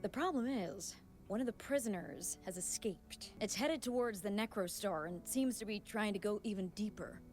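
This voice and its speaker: Woman playing helpful female mission officer, medium pitch, earnest, businesslike, scripted game dialogue, light music underneath. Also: moderate pace, darkish tone, natural voice. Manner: earnest, clear explanatory delivery. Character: businesslike, friendly female colleague giving a mission briefing. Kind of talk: video game voice acting.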